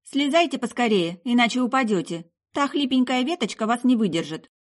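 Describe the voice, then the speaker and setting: A woman voicing an odd, chatty young sorceress in an audiobook, breezy and quick to take offence. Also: fairly high pitch, lively intonation, voice pushed up. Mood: matter-of-fact. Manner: breezy, indignant, talkative.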